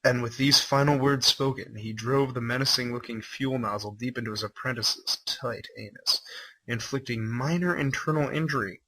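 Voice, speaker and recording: A man's voice: medium pitch, normal speed, bright, forceful tone, low-quality recording. A man reading a story aloud as a livestream host, mixing dramatic narration with irreverent, incredulous commentary. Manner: irreverent, incredulous, swearing asides.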